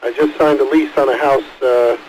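A man's voice: mid-range voice, steady tone, moderate pace, a little mellow. A man calling in casually to an amateur radio net over a two-way radio transmission. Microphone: mobile two-way radio mic. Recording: hissy, noisy ham radio transmission.

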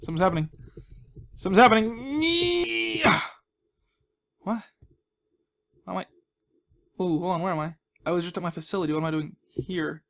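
A man's voice: a little high, vocal fry, a bit bright. A young man giving casual, playful gaming commentary, rambling and reacting to himself as he plays. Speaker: gaming host. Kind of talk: casual Let's Play commentary.

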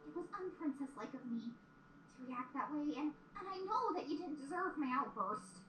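A woman's voice: sits high, expressive, moderate pace, a bit bright. A woman playing an anxious, apologetic young pony princess in a cartoon audio drama, with hesitant, stammering delivery. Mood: apologetic, nervous. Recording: roomy playback with background noise.

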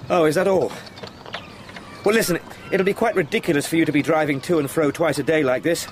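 An actor playing an eager, polite young English solicitor in a radio drama, speaking formally with small hesitant asides. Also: male voice, a little high, brisk, close to an everyday voice. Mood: brisk, courteous. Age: young adult. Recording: noisy outdoor sound-effect background.

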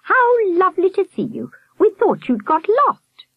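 A woman voicing an excitable, gracious old lady hostess in a children's story, full of exclamations. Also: very high voice, measured pace, dry recording, phone-quality sound, voice pushed up, heavily acted. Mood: delighted relief. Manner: exclaiming, effusive. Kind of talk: audiobook character voice.

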